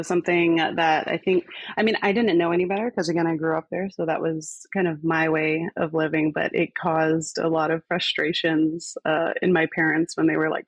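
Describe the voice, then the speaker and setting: Creaky-voiced woman, reflective podcast guest. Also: sits low, no room sound, highs cut off. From grew up on a base in Spain. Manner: relaxed, reflective, many fillers. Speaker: guest, fire department fitness coordinator. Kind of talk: conversational podcast interview.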